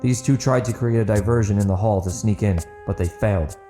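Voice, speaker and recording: A man's voice: on the low side, fairly quick, reverberant, over music, natural voice. A man playing a dutiful, apologetic guard in a fantasy audiobook. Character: dutiful, apologetic male guard addressing his superiors.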